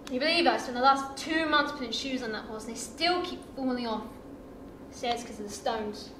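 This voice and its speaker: An actress playing an evasive young husband who brushes off questions, heard from a distance on an echoey stage. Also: female voice, fairly high pitch, fairly quick, background noise, natural voice. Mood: defensive. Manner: defensive, dismissive.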